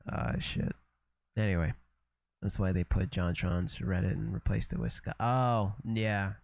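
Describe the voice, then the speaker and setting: A man's voice: sits low, takes it slowly, vocal fry, pauses often, darkish tone, no room sound. A young man from Canada chatting casually and loosely on a livestream, swearing, repeating himself and trailing off as he reacts to a video.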